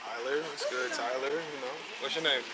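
A man's voice: medium pitch, fairly even intonation, moderate pace. A young man hosting a casual street interview at a night party, talking in hyped, playful slang. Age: young adult. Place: outdoor parking lot and loud house party at night. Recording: loud noisy party background.

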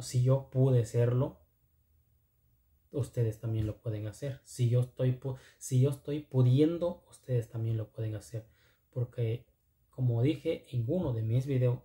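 Dark-voiced Mexican man, native Zapotec speaker. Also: medium pitch, roomy sound. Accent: Mexican, second-language Spanish with Zapotec influence. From Oaxaca, Mexico. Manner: halting, repetitive, earnest phrasing. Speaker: video channel host. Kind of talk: casual motivational vlog talk.

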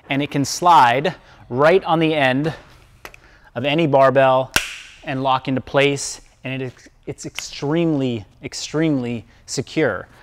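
A man's voice: medium pitch, a little hiss or hum. A man in his thirties, a fitness coach, enthusiastically explaining equipment while walking through a large indoor gym on a lapel mic. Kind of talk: upbeat presenter walkthrough.

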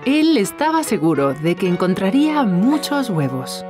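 A woman's voice: mid-range voice, big pitch swings, normal speed, music in the background, close to an everyday voice. A woman narrating a scripted children's cartoon story for young listeners.

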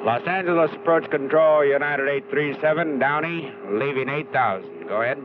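Male actor as businesslike pilot, higher-toned, clipped position reports through thin, very noisy radio. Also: moderate pace, natural voice. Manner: terse, procedural. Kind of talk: clipped aviation radio phraseology. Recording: very noisy, tinny radio sound.

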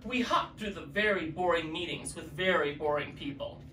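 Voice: medium pitch, moderate pace, bright, forceful tone. Drag queen storyteller in an echoey room. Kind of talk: children's story reading. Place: living room with fireplace, indoors. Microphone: distant camera mic. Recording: echoey room, distant mic, faint noise.

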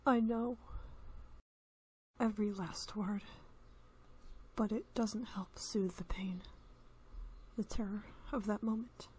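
A woman's voice: medium pitch, husky. A woman voicing a brooding, stern male cartoon hedgehog, intense and pained, in an amateur comic dub. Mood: pained, vulnerable. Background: background music.

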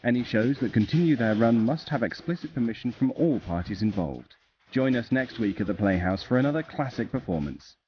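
A man's voice: mid-range voice, animated, brisk. A man reading a scripted sign-off as a podcast announcer. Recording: slightly dull with faint noise.

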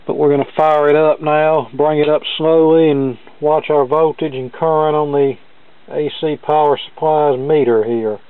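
A man explains a technical repair as he works, in a smooth, clear voice that stays very level in pitch. His delivery is plain-spoken and measured. The sound is thin and band-limited, like a phone line, with little room sound. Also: steady tone. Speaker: hobbyist radio repair demonstrator. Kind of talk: hands-on repair walkthrough, talking through steps. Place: indoor cluttered electronics workbench.

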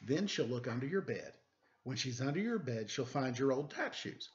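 A man's voice: mid-range voice, big pitch swings, moderate pace. A man in his forties hosting a children's storytime at home, reading a picture book with playful, chatty asides. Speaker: storytime host and reader. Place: room at home. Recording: dull lo-fi webcam sound.

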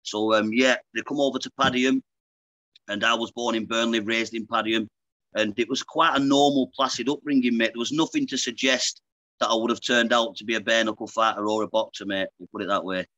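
A Lancashire man in his thirties tells his story to a podcast host over a video call, chatty and frank, dropping "mate" at the end of his sentences. His voice is bright and forward. The sound is close and dry, with no room around it. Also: mid-range voice, fairly even intonation, a little fast, dry recording, low-quality recording. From Lancashire, England. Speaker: guest, pro boxer. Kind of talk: casual interview chat. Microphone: earbuds on a video call.

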